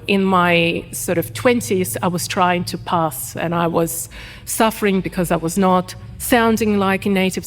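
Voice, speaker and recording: A woman's voice: on the low side, in a large hall. Reflective woman. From Ukraine. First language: Russian. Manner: reflective, thoughtful, many fillers and restarts. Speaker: guest writer. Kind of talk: live panel conversation. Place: event hall before a live audience.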